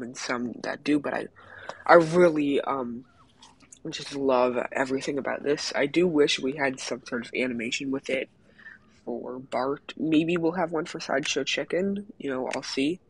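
A young man hosting a mobile game channel, chatting casually with many ums, his voice a little raspy. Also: mid-range voice, unhurried, poor audio. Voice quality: slightly raspy voice. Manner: hesitant, many ums, excited about items. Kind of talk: casual gameplay commentary.

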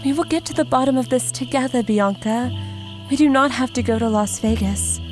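A solemn huntress of great age, acted by a woman, speaks in formal, old-fashioned English. Her voice is fairly high and measured, over background music in an audio drama. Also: lightly acted. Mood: grave, steady. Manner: formal, measured, archaic phrasing.

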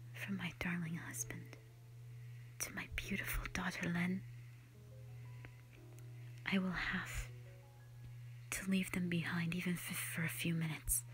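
A woman voicing a gentle, protective elder dragon in a slow, solemn, whispered audio roleplay monologue, full of sorrow. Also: on the low side. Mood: solemn, resolute. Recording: music behind the voice.

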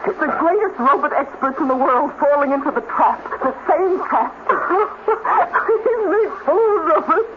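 A woman's voice: high voice, expressive, a little slow, phone-quality sound. Casting: brilliant, wounded, sardonic female scientist, fifties radio melodrama, thin and very noisy recording. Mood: scornful. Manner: intense, sardonic. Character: brilliant, bitter female robot scientist, proud and lovelorn. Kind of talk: 1950s radio acting.

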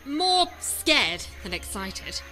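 A wry, sceptical young woman companion, played by an actress in an audio drama, teasing and full of questions. Her voice sits high and bright, swinging widely between surprise and doubt, with soft music behind her. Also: very animated, extremely slow, exaggerated voice, poor audio. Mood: wary.

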